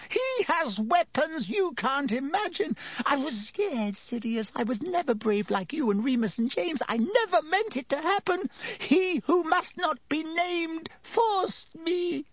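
A man voicing a terrified, cowardly middle-aged man in an audiobook, with a thin squeaky voice and gasping, whining, pleading delivery. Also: sing-song, extremely slow, hardly any pauses, fairly bright tone, dry recording, full character voice. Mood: tearful whining. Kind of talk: audiobook character voice.

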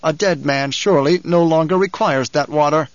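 A man voicing a calm male ecologist who lectures with quiet menace. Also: a little high, no room sound, muffled recording, natural voice. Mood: lecturing. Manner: lecturing, measured.

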